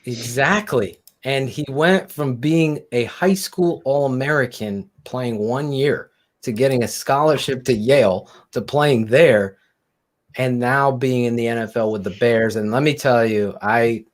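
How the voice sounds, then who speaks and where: A man's voice: mid-range voice, a little slow. A man co-hosting a casual sports talk show, telling stories animatedly and laughing often. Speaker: podcast co-host.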